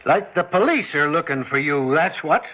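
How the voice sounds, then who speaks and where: An actor playing a gruff, anxious old diner owner and grandfather in a 1950s radio drama. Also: fairly high pitch, expressive, moderate pace, natural voice. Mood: agitated gruff. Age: older. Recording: dull old radio recording.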